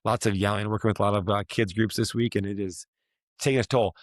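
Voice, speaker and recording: A man hosting a casual talk, his voice hoarse and raspy from a week of yelling, rambling and restarting as he asks questions. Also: on the low side, fairly even intonation, fast, no echo, clean studio sound. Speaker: podcast host, fitness coach. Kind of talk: casual chat.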